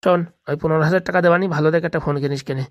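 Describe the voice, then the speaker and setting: Woman playing a rapid-fire scolding cartoon father. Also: sits low, steady tone, dry recording, lo-fi sound, close to an everyday voice. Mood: exasperated, bargaining. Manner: scolding, coarse, haggling. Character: scolding, exasperated thieving village father. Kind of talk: comic cartoon dialogue.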